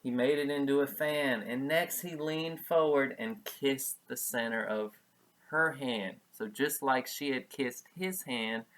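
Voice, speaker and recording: Slow-paced man reading aloud to young children. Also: medium pitch, fairly bright tone, rough recording. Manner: simple explanatory, repeats key words, addresses children. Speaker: preschool teacher. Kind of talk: read-aloud of a picture book with explanations for young children.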